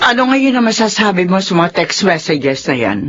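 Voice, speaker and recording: A woman's voice: lowish voice, very animated, fairly quick, over loud music. An actress playing a stern, hot-tempered middle-aged mother in a Filipino radio drama, confrontational and exclamatory. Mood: stern, probing. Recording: dull lo-fi radio sound, slight noise.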